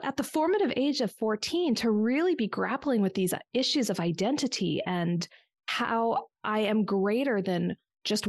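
Middle aged american lady telling personal stories, bit of fry in her voice, dry sound, thoughtful interview chat. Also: medium pitch, no echo. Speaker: interview guest, coach and former therapist. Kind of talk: reflective personal storytelling in conversation.

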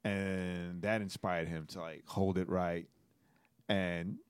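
Animated man in his forties, dry mic. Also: low voice, takes it slowly, clear, resonant tone, no room sound. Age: 40s. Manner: animated storyteller, laughs often, says "right?" a lot. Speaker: podcast co-host. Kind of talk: casual chat.

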